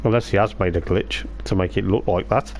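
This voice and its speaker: A man's voice: deep voice, fairly even intonation, a little fast, a little mellow. A man hosting a casual sim racing livestream as commentator, chatty and self-mocking, his strained voice starting to give out. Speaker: livestream host and race commentator.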